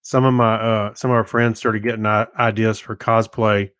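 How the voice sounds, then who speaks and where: A man's voice: fairly low pitch, little pitch movement, normal speed, a bit bright, clear, resonant tone, no room sound. A man co-hosting a podcast, chatting in an easygoing, informal way. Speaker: podcast co-host. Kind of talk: casual chat.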